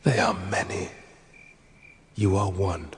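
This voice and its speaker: An actor playing a charismatic wine god and cult leader in an audio drama, with a measured, incantatory, poetic delivery. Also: male voice, sits low, steady tone, a little hiss or hum. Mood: calm warning. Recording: music behind the voice.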